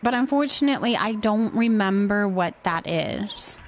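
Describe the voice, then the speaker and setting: A woman's voice: mid-range voice, expressive, moderate pace, faint music behind. A woman hosting a game livestream, chatting casually with viewers and helpfully explaining steps as she plays. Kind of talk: casual livestream chat while playing.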